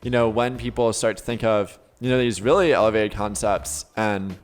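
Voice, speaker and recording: A man's voice: lowish voice, moderate pace. A man speaking as a podcast guest and life coach, explaining ideas in an earnest, enthusiastic, affirming way with frequent "you know"s. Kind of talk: conversational interview, reflective explaining.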